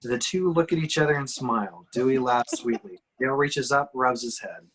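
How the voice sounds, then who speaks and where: A man's voice: mid-range voice, normal speed, fairly bright tone, poor audio. Male narrator, mid-range voice, reads stage directions for an amateur table read. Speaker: reader of action descriptions. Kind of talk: scripted screenplay narration at a table reading.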